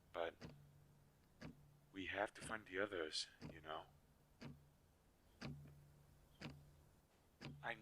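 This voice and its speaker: A man playing a weary, protective magical inventor in an audio drama, speaking earnestly with halting pauses. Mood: gentle, resolute. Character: weary, protective male inventor-mage, creator of living dolls. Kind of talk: scripted audio roleplay drama. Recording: low quality, audible background hiss.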